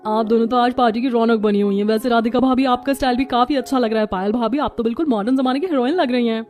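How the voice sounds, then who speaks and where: A woman voicing polite young women of an Indian family in a cartoon story, with expressive delivery. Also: on the high side, quick pace, close to an everyday voice. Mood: admiring. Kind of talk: scripted cartoon story dialogue.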